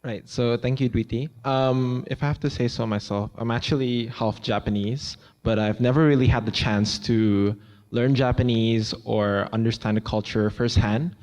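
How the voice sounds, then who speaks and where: A man's voice: medium pitch, darkish tone. Earnest young man, hall echo. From Indonesia. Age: young adult. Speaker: student panelist.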